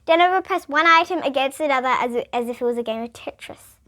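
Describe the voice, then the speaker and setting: Aussie girl or woman reading a kids book out loud, really high voice, expressive. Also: brisk. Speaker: children's storybook reader. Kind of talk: expressive read-aloud of a picture book.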